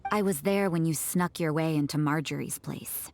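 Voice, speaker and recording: A low, cool voice from a watchful guard in a video game, curt and unimpressed. A faint hiss sits under her lines. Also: normal speed, vocal fry, darkish tone. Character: stern, watchful female guard.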